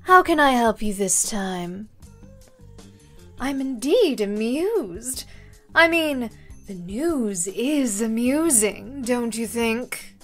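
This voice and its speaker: A woman's voice: fairly high pitch, animated, takes it slowly, fairly bright tone, faint music behind. A woman voicing a sly, amused information broker, wry and coolly sarcastic in a character demo. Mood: amused, coy.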